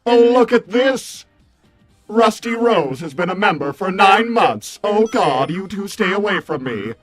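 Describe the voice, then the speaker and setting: A man's voice: sits high, sing-song, measured pace, soft background music, exaggerated voice. A man voicing a prim, wordy, vain robot assistant in a fussy, formal, mildly sardonic livestream roleplay. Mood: pleased, fussy. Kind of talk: improvised in-character livestream roleplay.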